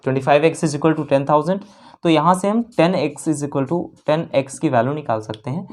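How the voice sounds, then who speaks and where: A woman's voice: deep voice, normal speed, a little mellow. A woman with an Indian accent teaching a maths lesson step by step, repeating phrases and posing questions as she explains.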